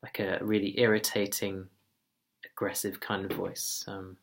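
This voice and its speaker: A man giving a casual personal update in a slow, monotone, calm voice. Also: sits low. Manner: soft-spoken, relaxed, occasional quiet laughs. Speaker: vlogger.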